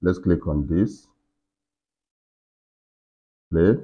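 Low male voice, forties, casual tutorial host, step-by-step talk, slightly muffled recording. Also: very slow. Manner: talking himself through each on-screen step. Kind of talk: casual software walkthrough. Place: indoors in a furnished room. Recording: slightly dull, lo-fi sound.

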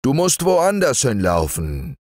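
A man's voice: mid-range voice, huge pitch swings, slow, a little mellow, no room sound, clean studio sound, voice pushed up, exaggerated voice. A man voicing a grumpy, stout older male bear in a storybook reading, grumbling and a little sly.

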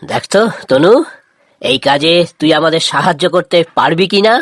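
A woman voicing a kind, patient village farmer father in a moral cartoon, gently coaxing and reassuring. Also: deep voice, moderate pace, no echo, clean studio sound. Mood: eager, planning. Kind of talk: Bengali moral cartoon dialogue.

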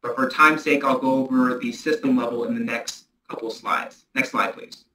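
A man presenting a technical analysis at a transit board meeting over a video call, measured and procedural. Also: medium pitch, fairly even intonation, roomy sound, background noise. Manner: measured, procedural, restarts often. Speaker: transit agency staff presenter.

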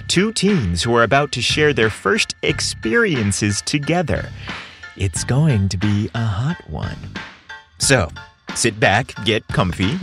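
A man hosting and narrating a scripted romantic story, with expressive, deliberate storyteller phrasing. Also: mid-range voice. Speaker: podcast host and narrator.

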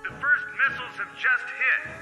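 An actor playing an emergency broadcast announcer who reads a red alert over the radio in a clipped, urgent, official manner. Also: male voice, very high-pitched, moderate pace, sharp tone, heavily acted. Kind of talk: radio drama acting. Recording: roomy radio broadcast with music.

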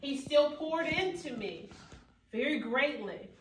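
A woman's voice: high-pitched, expressive, slow, a little hiss or hum. A young adult woman giving an emphatic, preacherly keynote speech, at times rising to exclamations. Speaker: keynote speaker. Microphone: far camera at back of room. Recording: echoey hall recorded from far off.

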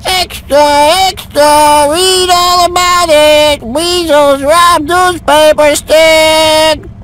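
A woman's voice: sits high, steady tone, drawn-out words, fairly bright tone, soft background music, full character voice, poor audio. A woman voicing a scheming, bossy, irritable weasel crook in a cartoonish video game. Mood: mocking.

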